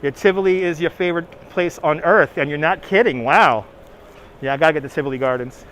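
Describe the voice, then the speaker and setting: A man's voice: a little high, brisk, fairly bright tone, slight background noise, rough recording. A man with a New York accent chatting casually on a live stream, talking back to viewers by name and laughing often. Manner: chatty, reads chat names aloud, laughs often. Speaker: livestream host. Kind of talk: casual live-stream chat while walking. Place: outdoors on city streets. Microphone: phone on gimbal.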